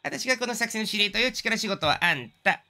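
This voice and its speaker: A man voicing a small, sharp-tongued girl creature in a video game, brisk and commanding, with feminine phrasing. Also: high-pitched, lively intonation, voice pushed up, heavily acted. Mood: bossy, confident.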